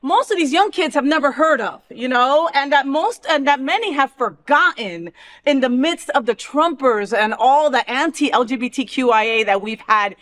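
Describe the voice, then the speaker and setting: A woman's voice: high-pitched, animated, normal speed, fairly bright tone. A woman hosting a talk show, chatting casually and with opinions in an emphatic way, full of rhetorical questions. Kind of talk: casual opinionated chat with a co-host.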